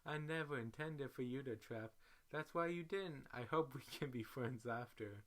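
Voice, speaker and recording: A man's voice: mid-range voice, normal speed, pitched above the performer's own voice, close to an everyday voice. A man voicing a needy young woman in trouble, pleading, in a dramatic story reading.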